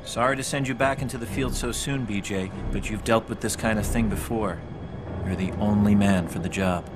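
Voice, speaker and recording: Low-voiced intelligence officer played by a man. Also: normal speed, background noise, lightly acted. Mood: measured, serious. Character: measured male intelligence officer briefing an agent. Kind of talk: scripted game cutscene briefing.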